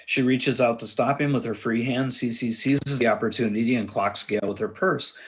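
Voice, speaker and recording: A man's voice: mid-range voice, steady tone, moderate pace, a bit bright. A man reading screenplay action lines as narrator at a table read, in a casual, slightly stumbling way.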